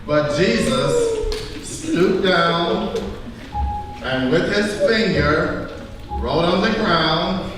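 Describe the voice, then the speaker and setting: A man narrating a scripture story live in a church, delivering it deliberately, phrase by phrase. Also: high-pitched, very animated. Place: large church, recorded live. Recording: echoey church hall, far mic, faint music.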